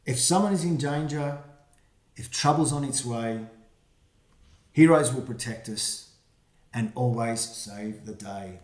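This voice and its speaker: A man, the book's author, reading his rhyming picture book aloud for children, with quiet asides about the pictures. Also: medium pitch, a little slow, pauses often. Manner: friendly, reads rhyming verse with quiet asides. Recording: roomy echo.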